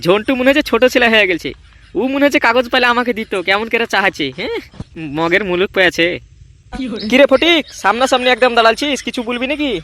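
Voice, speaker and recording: A man acting as a hot-headed cartoon boy. He talks extremely fast in a high voice, scolding and defiant. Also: lively intonation, sharp tone, heavily acted. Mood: irritated, mocking. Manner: defiant, scolding, rapid-fire. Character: hot-headed older boy guarding a secret paper. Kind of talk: amateur comedy cartoon dub.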